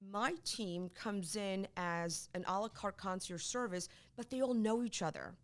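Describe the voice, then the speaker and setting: A middle-aged woman from Poland, whose first language is Polish, talks in an animated, emphatic way, often repeating words as she makes her point. Her voice sits at a middle pitch for a woman, and she speaks in a relaxed, conversational interview tone. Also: unhurried. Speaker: divorce attorney, guest.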